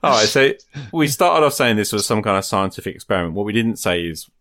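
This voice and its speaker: A man with a low-pitched voice, recorded dry and close, chats in a reflective, informal way. He thinks aloud as he goes, letting his points build in loose conversational phrases. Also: animated, fast, fairly bright tone, no room sound. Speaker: podcaster and tabletop game master. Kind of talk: casual, reflective chat about tabletop gaming.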